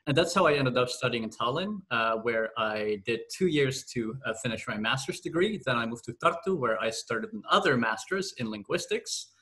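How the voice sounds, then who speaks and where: A man from the Netherlands describing his studies in a measured, thoughtful way. He speaks at a medium pitch and pauses here and there with a soft "uh". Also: lively intonation. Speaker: doctoral student in theology. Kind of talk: casual interview answers.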